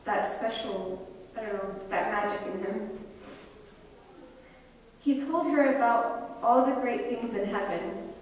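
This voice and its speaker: A woman's voice: a little high, darkish tone. A young American woman giving an impassioned prepared speech at a university event, with pointed rhetorical questions. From United States. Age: young adult. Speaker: student speaker. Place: university hall. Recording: echoey hall from far off.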